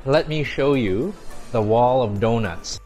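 A middle-aged man with a medium-pitched voice talks casually to camera like a food vlogger. He exclaims appreciatively over what he is eating, with music clearly playing behind him. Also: unhurried, darkish tone. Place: large busy buffet restaurant, indoors. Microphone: clip-on lapel mic. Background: background music.

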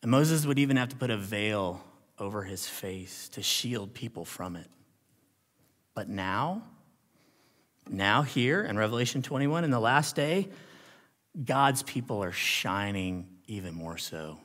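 A man delivering a sermon as a pastor, with a dramatic delivery that moves between hushed and emphatic. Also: lowish voice, lively intonation, measured pace. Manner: dramatic, swinging from hushed near-whispers to loud emphatic declarations; rhetorical questions and check-ins with listeners. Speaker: pastor preaching. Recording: long hall reverb.

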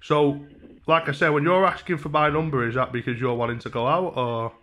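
A man in his twenties with a Northern English accent, talking casually and mock-earnestly as a deadpan, hesitant prank caller at a desk microphone. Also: fairly high pitch, brisk. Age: 20s. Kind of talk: casual prank phone call. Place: small room at home. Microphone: desk microphone on a stand.

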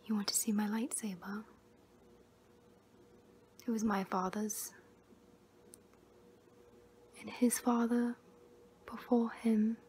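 A woman in her twenties playing a gentle young scavenger turned Jedi in a whispered ASMR roleplay, soft, breathy and soothing, close to the mic. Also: fairly high pitch, steady tone. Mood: hushed, earnest. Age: 20s. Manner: slow, hushed, soothing, with long pauses. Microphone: close to the mouth. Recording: noisy close-up homemade recording.

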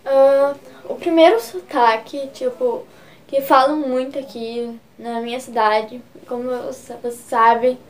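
Chatty high-voiced gaúcha vlogger. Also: female voice, steady tone, takes it slowly. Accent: Gaúcho Brazilian Portuguese. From Rio Grande do Sul, Brazil. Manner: chatty, filler-heavy, gesturing a lot with both hands. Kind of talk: casual vlog explaining regional slang.

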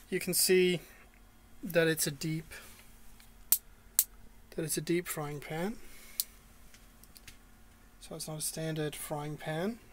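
A man's voice: on the high side, a little hiss or hum. An Australian man talking casually through a cooking walkthrough inside a small van, with many ums and restarts. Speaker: vlogger.

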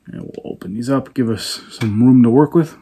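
A man in his thirties with a husky voice, calmly talking himself through a hands-on install at a table indoors, often half under his breath. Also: medium pitch, moderate pace. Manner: relaxed, muttering step by step, often half-whispered. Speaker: fishing channel host. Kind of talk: casual how-to narration while working.

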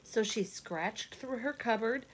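A woman in her thirties reading a picture book aloud for children in a deliberate, sing-song, teacherly way, with asides to the listeners. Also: fairly high pitch. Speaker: read-along storyteller for children. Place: indoor rooms at home.

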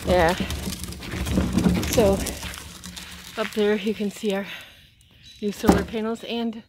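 A woman's voice: medium pitch, steady tone, slow pace. A woman vlogger chatting casually and musing aloud in a rambling way, recorded outdoors on a handheld camera. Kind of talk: casual walking vlog chat. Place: outdoors on a wooded gravel driveway and yard. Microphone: handheld phone or camera. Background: clear outdoor ambient noise.

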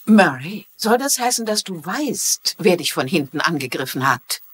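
A woman's voice: lowish voice, big pitch swings, normal speed, breathy edge. An actress playing a level-headed middle-aged mystery writer in a dubbed TV drama, probing and reasoning as she talks. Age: middle-aged or older.

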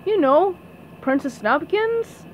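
A woman's voice: very high voice, animated, slow pace, slight background noise, voice pushed up, full character voice, poor audio. A woman voicing a casual, amused male delivery driver in a cartoon storybook.

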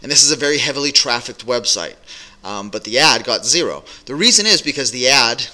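A man's voice: medium pitch, a little fast. A middle-aged man coaching business owners straight to a webcam in a small home office, talking directly with plenty of uhs. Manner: energetic, direct, many fillers. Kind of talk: direct-to-camera business advice vlog. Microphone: webcam at desk.

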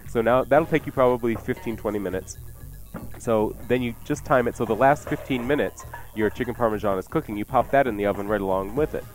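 A man's voice: medium pitch, a little fast. Man chatting recipes over music. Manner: jokey, explanatory. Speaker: radio cooking show host. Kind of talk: casual cooking chat. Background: music playing behind the voice.

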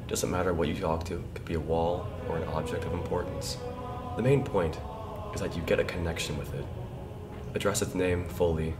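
A young man playing a solemn exorcist priest who gives deliberate instructions. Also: deep voice, fairly even intonation, recorded from a distance, loud music behind the voice, lightly acted. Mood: solemn, instructive. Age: young adult. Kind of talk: mock instructional narration.

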